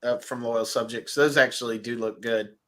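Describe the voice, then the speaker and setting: A man hosting a relaxed stream, chatting and reading viewer comments, his voice nasal and stuffed up. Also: medium pitch, moderate pace. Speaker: host. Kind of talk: casual livestream chat about toys.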